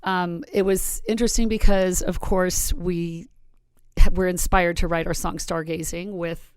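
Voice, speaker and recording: A woman from Arizona co-hosting a podcast, chatting in a relaxed and reflective way. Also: on the low side, measured pace, clear, resonant tone, dry recording. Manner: relaxed and reflective, reads a quote aloud. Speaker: podcast co-host. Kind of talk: casual podcast chat.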